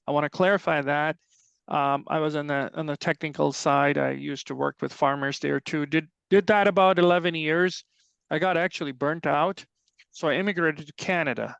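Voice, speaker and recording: A man's voice: on the high side, fairly even intonation, normal speed, gravelly, a bit bright, clean tone, no echo, lo-fi sound. A middle-aged man with a Pakistani accent, giving an informal technical presentation in an explanatory, conversational way. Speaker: extension soil health specialist, presenter. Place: online webinar call.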